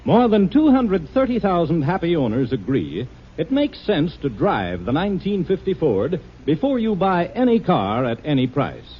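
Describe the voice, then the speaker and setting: A man reads a 1950s radio commercial with polished, emphatic salesmanship. His voice is husky and fairly high, swinging widely in pitch. The recording is dull old radio. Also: big pitch swings, normal speed. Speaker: commercial announcer. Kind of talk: 1950s radio advertising read. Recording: muffled vintage radio recording.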